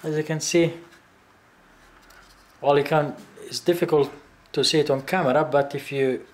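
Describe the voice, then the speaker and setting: A man in his forties giving a casual hands-on workshop tutorial, haltingly thinking aloud as he goes. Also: a little high, steady tone. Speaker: DIY video maker. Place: indoor home workshop. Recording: echoey room, faint background noise.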